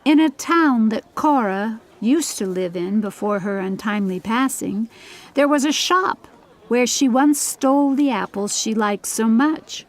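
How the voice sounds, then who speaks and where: A woman narrating a scripted audiobook story as a storyteller. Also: fairly high pitch. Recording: music behind the voice.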